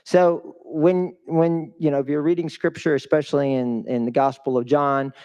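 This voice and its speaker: A man's voice: fairly high pitch, steady tone, moderate pace, a little mellow, clean tone, highs cut off. Man giving an emphatic live speech. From a developing country. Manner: emphatic, asks the audience questions. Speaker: guest speaker at a charity fundraiser. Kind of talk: live motivational speech to an audience.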